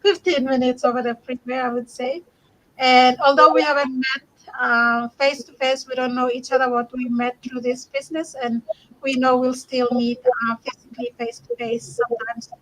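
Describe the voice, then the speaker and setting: High-voiced woman hosting an online call. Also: normal speed, rough recording. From Bougainville. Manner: friendly, laughs often, thanks each guest. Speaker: host. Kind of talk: casual online community chat. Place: home.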